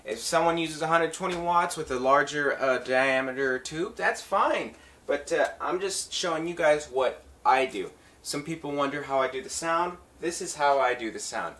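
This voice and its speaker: A man's voice: on the high side, animated, normal speed, a bit bright. A man hosting an instructional video, talking casually and informally to his viewers as he explains a hands-on process. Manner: informal, addresses viewers as guys and folks. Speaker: talk box tutorial host, musician.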